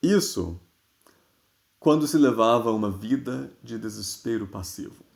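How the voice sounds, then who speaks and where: A man with a Brazilian accent reads literary prose expressively and deliberately, with dramatic pauses. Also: fairly low pitch, animated, vocal fry. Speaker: reader of a literary newspaper column. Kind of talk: expressive literary reading, scripted.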